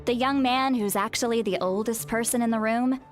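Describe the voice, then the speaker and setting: A woman's voice: on the high side, steady tone, a little fast, soft background music, lightly acted. An actress playing a haughty, teasing, young-looking elder woman in a video game, with theatrical, sardonic phrasing.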